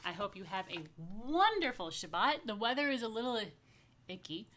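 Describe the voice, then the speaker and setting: A woman's voice: fairly high pitch, big pitch swings, moderate pace, a bit bright, a little hiss or hum. A woman speaks as a rabbi hosting story time for young families. She talks casually and directly to viewers, inviting them to take part. Her delivery is playful and informal, with chatty asides.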